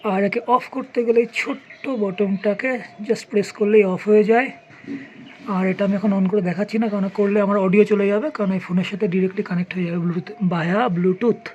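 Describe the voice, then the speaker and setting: A product reviewer talks straight to the viewer in an informal, explanatory way. The voice sits at a middle pitch. The recording carries clearly audible ambient noise behind the practical, hands-on commentary. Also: fairly even intonation, brisk, a little mellow. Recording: clearly noisy ambient background.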